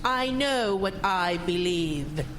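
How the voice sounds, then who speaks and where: A woman's voice: on the low side, lively intonation, slow, fairly bright tone, slight background noise. A woman playing a prim, pious character in an audio drama, stern, flustered and easily indignant, denying her fear. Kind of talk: scripted audio drama acting.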